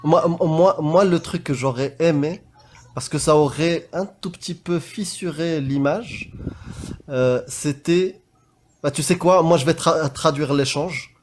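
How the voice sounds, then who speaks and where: A man's voice: fairly high pitch, unhurried, a little mellow, a little hiss or hum. A man on a live online voice call giving feedback on a debate, in casual chat full of fillers, restarts and self-corrections. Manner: hesitant, many fillers and restarts. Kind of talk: casual live-stream discussion.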